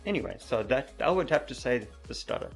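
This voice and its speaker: A middle-aged man with a stutter who repeats words, talking softly and openly as a podcast guest. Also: mid-range voice, very animated, fairly quick, vocal fry, breathy edge, faint music behind. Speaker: podcast guest, mountain biker. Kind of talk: casual interview chat.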